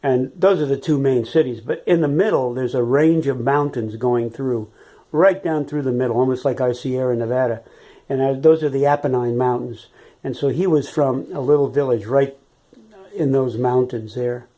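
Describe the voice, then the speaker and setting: Older man, warm mellow reminiscing voice. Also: mid-range voice, normal speed. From San Francisco, California. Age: 80s. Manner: rambling, with frequent "uh" and "you know", chuckles as he remembers. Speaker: interviewee, retired biology teacher. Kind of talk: unhurried oral history reminiscence.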